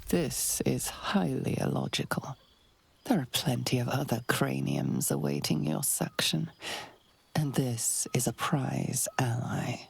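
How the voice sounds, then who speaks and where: A woman voicing an eerie, detached inner voice that narrates a monster's urges in a video game, hushed, breathy and ominous. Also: rumbling low, expressive, slow, exaggerated voice. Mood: sinister, tempting. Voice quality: breathy, whispery, gravelly. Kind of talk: second-person game narration.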